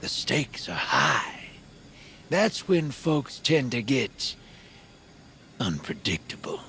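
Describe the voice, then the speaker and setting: A man's voice: mid-range voice, big pitch swings, slow, bright, forceful tone, a little hiss or hum, heavily acted. An actor playing a worldly, sly male drifter in a video game, with a folksy, streetwise drawl. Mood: cautionary. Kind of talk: video game dialogue acting.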